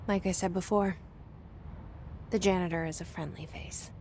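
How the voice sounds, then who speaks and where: A woman's voice: on the low side, fairly even intonation, normal speed, background noise, natural voice. An actress playing a wry, guarded woman in a video game, giving a dry, understated inner monologue. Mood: reflective, wry. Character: wry, guarded young woman, new director, thinking privately. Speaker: game voice actor.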